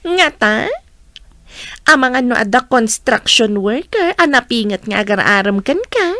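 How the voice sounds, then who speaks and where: A woman acts out a blunt, nosy village mother with an Ilocano accent. Her fairly high voice rises and falls freely as she probes, in radio comedy drama style. The sound is dry and close. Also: animated, unhurried, bright, forceful tone, no echo. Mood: scolding, teasing.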